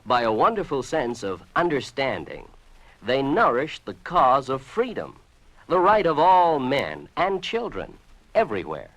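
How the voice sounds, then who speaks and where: A man's voice: medium pitch, very animated, unhurried, a bit bright, rough recording. An American man delivering a scripted, patriotic public service narration for radio in an announcer's manner.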